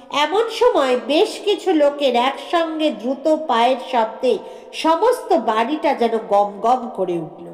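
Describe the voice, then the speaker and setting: Female storyteller, high voice, slow measured dramatic narration, echoey reverberant sound.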